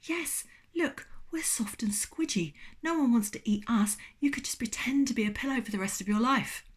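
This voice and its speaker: A woman voicing a soft, friendly talking pillow in a storybook tale, gentle and coaxing. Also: fairly high pitch, normal speed, breathy edge, pitched above the performer's own voice.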